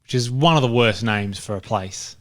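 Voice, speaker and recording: A man's voice: fairly low pitch, normal speed. A man co-hosting a podcast, chatting casually about a film in a blunt, dryly contrary manner. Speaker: podcast co-host. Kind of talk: casual film review banter.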